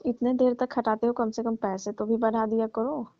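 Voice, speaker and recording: A woman's voice: a little high, little pitch movement, normal speed, dry recording, close to an everyday voice. A woman playing a tired, aggrieved housemaid aunty in a comic phone-call skit, grumbling reproachfully in a familiar way. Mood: weary, complaining. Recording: muffled lo-fi dry phone call recording.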